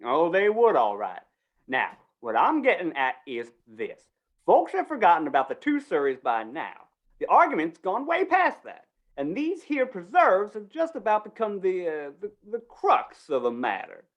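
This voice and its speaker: A woman's voice: fairly low pitch, huge pitch swings, normal speed, muffled recording. A woman acting a flustered, wheedling small-town male undertaker in a 1950s-style Western radio play, stammering in rustic speech. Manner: stammering, pleading, rustic grammar.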